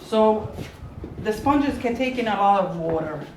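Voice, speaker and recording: A woman from Norway guiding visitors through a small sponge museum, talking in a halting, word-searching way. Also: medium pitch, animated. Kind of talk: casual explaining chat. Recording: echoey room, distant from mic, faint noise.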